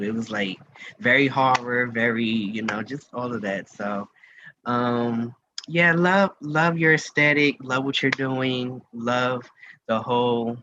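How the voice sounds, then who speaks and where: A man's voice: mid-range voice, measured pace. A man hosting a casual music interview, talking in a gushing, effusive way. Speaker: radio host interviewing a musician. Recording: dull lo-fi audio with faint noise.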